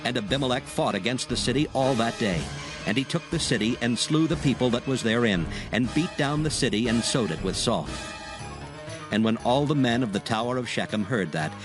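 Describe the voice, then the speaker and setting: A man narrating a dramatized Bible in a solemn, measured storytelling style. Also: mid-range voice. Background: background music.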